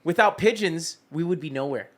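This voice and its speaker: A man's voice: a little high, animated, moderate pace, a bit bright. A man chatting casually as a podcast panelist. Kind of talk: casual chat.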